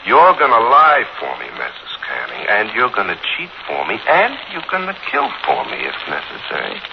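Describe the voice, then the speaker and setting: Menacing American gangster, 1940s radio thriller. Mock-polite, swooping delivery, noisy vintage recording. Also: male voice, fairly low pitch, wildly swooping pitch, creaky, lo-fi sound, heavily acted. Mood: gloating threat. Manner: mock-polite, sneering, slow taunting menace. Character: menacing wounded gangster holding a mother hostage.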